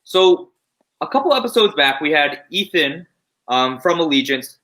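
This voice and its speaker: Bright-voiced woman host, halting. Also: sits low, very animated. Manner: halting, searching for words. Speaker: interviewer host. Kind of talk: casual interview.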